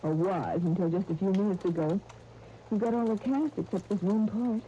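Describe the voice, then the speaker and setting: A woman's voice: mid-range voice, normal speed, natural voice. An actress playing a curious, amused, bantering theater woman in a 1930s radio drama. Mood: surprised, amused. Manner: lively, amused, inquisitive. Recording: muffled, noisy 1939 radio broadcast.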